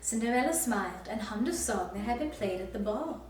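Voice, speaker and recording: A woman's voice: on the high side, animated, fairly quick. A woman reading a storybook expressively to young children as a princess character, sweet and encouraging, inviting them to join in. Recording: very echoey, distant mic, faint music.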